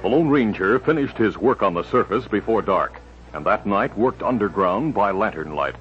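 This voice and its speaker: A man's voice: fairly low pitch, expressive, normal speed. A man reading scripted narration for a 1950s radio drama. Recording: dull old radio recording, music behind.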